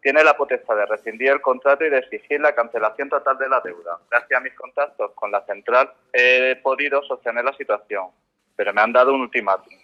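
A man plays a bank manager in a radio drama, heard down a dull telephone line. He is formal and courteous, measured in his wording, apologetic yet firm. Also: mid-range voice. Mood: firm, regretful. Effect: telephone filter. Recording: muffled telephone-line sound.

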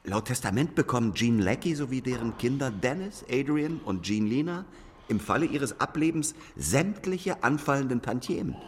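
Actor as menacing interrogator in long reverb. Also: male voice, medium pitch, animated, natural voice. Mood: accusing. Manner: sardonic, cutting, deliberate. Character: cold, mocking, menacing male interrogator needling an old man. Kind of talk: German radio drama acting. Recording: long reverb, faint background noise.

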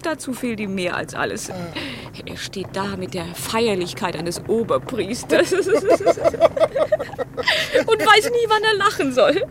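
A fairly high female voice plays a clever lady-in-waiting in a period radio drama. She is articulate and ironic, with a haughty, mocking edge. Clear noise runs behind her. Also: very animated, slow pace. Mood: mocking, amused. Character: witty, sharp-tongued lady-in-waiting at a royal court. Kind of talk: German radio drama acting, period piece.

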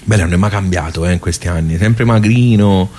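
A man's voice: deep voice, a little fast, mellow, a little hiss or hum. A man with a Tuscan accent performing a colloquial, rambling storytelling monologue, full of asides to his listeners.

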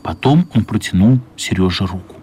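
A man narrating a children's radio play as scripted storytelling, measured and expressive, with pauses. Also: low-pitched, slight background noise. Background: music.

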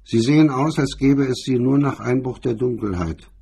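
A man voicing a haughty, scornful female film star in a crime radio drama, husky and disdainful. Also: mid-range voice, moderate pace, dark tone, pitched above the performer's own voice. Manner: disdainful, snide, affected.

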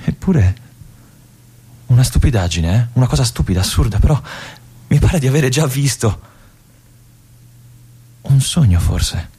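An actor playing a restless young army lieutenant in a radio monologue, confiding and brooding in halting asides. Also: male voice, medium pitch, expressive, warm tone, husky. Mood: uneasy. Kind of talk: theatrical monologue.

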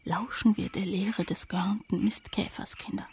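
A woman's voice: mid-range voice, fairly even intonation, normal speed, a little mellow, whispered, lightly acted. Actress as sardonic, scornful countrywoman. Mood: muttering resentment. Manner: sardonic, blunt, complaining. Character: bitter, scornful woman of a poor rural household. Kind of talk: German radio drama acting.

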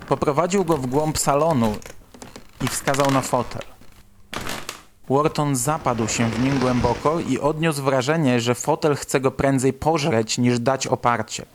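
A man's voice: mid-range voice, a little hiss or hum. A man reading scripted literary narration for a radio drama.

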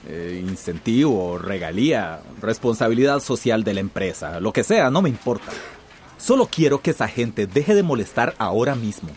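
An actor playing a bullying, cynical company boss in a radio drama, with a domineering, impatient manner. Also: male voice, fairly low pitch, very animated, moderate pace, darkish tone, a little hiss or hum. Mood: impatient, scornful.

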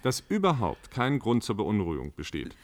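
Pushy low-voiced government spokesman. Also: wildly swooping pitch, normal speed. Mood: insistent. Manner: officious, interrupting, emphatic. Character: pushy, self-important male government spokesman. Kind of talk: German radio drama, official statement.

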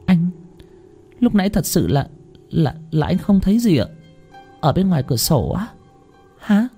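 Man putting on a frightened young wife, high shrill voice, stuttering, panicky. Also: big pitch swings, normal speed, dark tone, slightly rough, faint music behind, exaggerated voice. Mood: fearful pleading. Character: terrified hysterical young wife, a woman.

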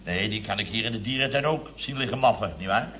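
An actor playing a grumbling middle-aged building contractor boss who spins chatty anecdotes in a vintage radio comedy. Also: male voice, on the low side, fast, bright, forceful tone, a little hiss or hum. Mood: indignant storytelling. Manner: chatty, grumbling anecdote telling with tag words. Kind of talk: 1960s-70s Dutch radio comedy acting. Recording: dull vintage radio recording.

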